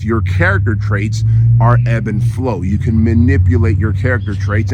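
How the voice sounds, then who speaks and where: A hoarse man hosting a podcast, delivering an emphatic motivational monologue and often checking in with "right?". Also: mid-range voice, a little hiss or hum. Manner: emphatic, repeats phrases, frequent tag "right?". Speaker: podcast host.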